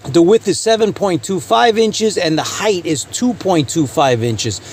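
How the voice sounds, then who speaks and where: A man with a slightly high-pitched voice hosts an informal gear review. His delivery is halting, broken up by frequent filler pauses, and he often circles back to repeat a phrase. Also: expressive, breathy edge. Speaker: channel host reviewing radio gear. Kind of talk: informal product review commentary.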